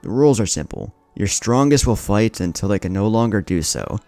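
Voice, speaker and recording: A man acts out a solemn tournament master in an audio story, deep and gravelly. He announces with ceremony and loud authority over music. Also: animated, fairly quick, no echo. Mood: commanding. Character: commanding male tournament master, solemn and booming. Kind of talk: narrated fan-fiction story.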